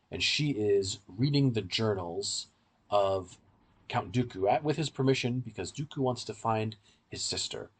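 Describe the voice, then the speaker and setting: A low-pitched man with a somewhat husky voice talks as a podcast co-host. He explains and analyses in a casual way, often correcting himself as he goes.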